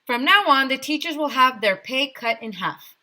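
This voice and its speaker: A woman's voice: sits high, animated, normal speed, pushed and bright, voice pushed up, exaggerated voice. A woman voicing a bossy, penny-pinching male town mayor in a children's storybook, declaiming emphatically. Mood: gleefully ruthless. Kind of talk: children's storybook read-aloud.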